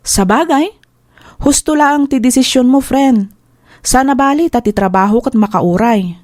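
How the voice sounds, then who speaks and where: High, dry-sounding Filipino best friend played by a woman. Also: measured pace, a little mellow, no echo. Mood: supportive. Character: supportive female best friend, teasing, advice-giving coworker. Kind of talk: Ilocano audio drama acting.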